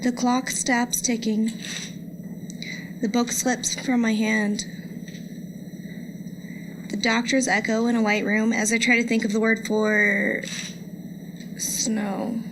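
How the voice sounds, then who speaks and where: A woman's voice: fairly high pitch, flat delivery, takes it slowly, long pauses. A young adult woman reading poetry aloud at a live event. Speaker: poetry reader. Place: indoor public lecture event. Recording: noisy live room recording.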